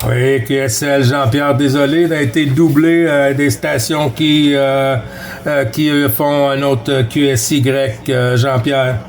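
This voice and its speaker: A man with a Quebec French accent chatting casually as a CB radio operator, halting and hesitant, heard through a radio transmission. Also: medium pitch, fairly even intonation, crowd noise. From Quebec, Canada. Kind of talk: casual radio contact chat. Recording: noisy CB radio reception, loud static.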